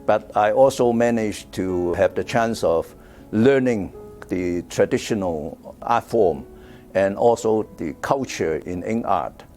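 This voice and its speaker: A man's voice: fairly low pitch, slow. An older man from Hong Kong with a Hong Kong English accent, speaking about his own art in an interview and hesitating with many fillers and repeated words. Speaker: artist. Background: soft guitar music.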